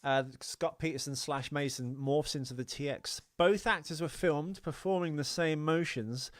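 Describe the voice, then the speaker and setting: A man's voice: on the high side, normal speed, dry recording, studio quality. A man co-hosting a podcast, chatting casually about film trivia in a bantering, teasing way. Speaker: podcast co-host.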